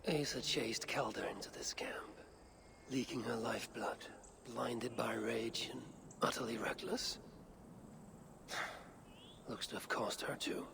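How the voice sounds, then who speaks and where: Gravelly grim male warrior. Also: mid-range voice, steady tone, slow, pauses often, whispered. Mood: musing. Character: grim, determined warrior on a vengeful quest.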